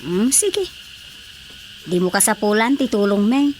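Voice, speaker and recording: An actress playing a gossipy, teasing village council colleague in a radio drama comedy, with a Filipino accent. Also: female voice, medium pitch, animated, a little slow, a little mellow, lightly acted. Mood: chatty, teasing. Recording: faint background noise.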